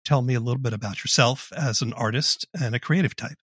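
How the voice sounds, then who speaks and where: A man's voice: medium pitch, fast talker, fairly bright tone, breathy edge, dry recording. Male podcast interviewer with a dry, close sound. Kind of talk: scripted introduction and conversational interview.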